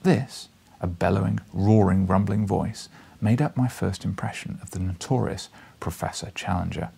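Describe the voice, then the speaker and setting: A man in his thirties narrating an audiobook in a dramatic, expressive storytelling style. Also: sits low, normal speed, vocal fry, breathy edge. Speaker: audiobook reader. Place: small living room, indoors, seated in armchair.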